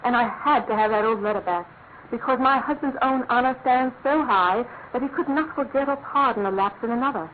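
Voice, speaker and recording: Radio drama: woman as upper-class English wife, highish voice, guilt-ridden and formal, narrow noisy sound. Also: natural voice. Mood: anguished, pleading. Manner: formal, pleading, emotionally halting. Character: anguished aristocratic English wife confessing a guilty secret. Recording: thin phone-like radio sound, clear noise.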